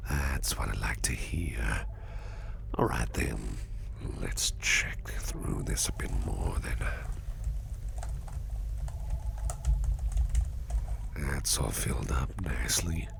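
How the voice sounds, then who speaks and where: A man playing an attentive, softly flirtatious businessman boss in a whispered ASMR roleplay, reassuring and doting, with a breathy voice. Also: slight background noise, full character voice. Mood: attentive, pleased. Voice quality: breathy whisper, very low and gravelly. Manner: unhurried, reassuring, personal attention to listener.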